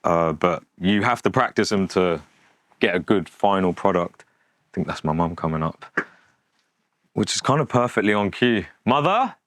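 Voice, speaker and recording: A young man in his twenties with a fairly low voice. He talks off the cuff, candid and matter-of-fact, like a designer chatting to a documentary camera. The sound is close and dry. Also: animated, moderate pace, fairly bright tone, no room sound. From England, born in Yorkshire with family from Pakistan. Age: 20s. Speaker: fashion designer, documentary subject. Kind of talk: casual, unscripted talk to camera.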